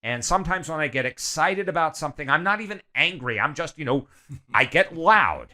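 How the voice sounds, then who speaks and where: A middle-aged man being interviewed, talking candidly and loudly, full of "you know" and restarts. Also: a little high, brisk. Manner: loud, animated, hesitant with many fillers. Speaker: guest.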